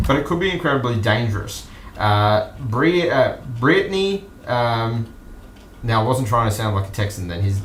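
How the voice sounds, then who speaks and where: An Australian man hosting an online news stream, commenting casually and off the cuff in a halting, musing way. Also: medium pitch, fairly bright tone, a little hiss or hum. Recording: roomy echo with music behind.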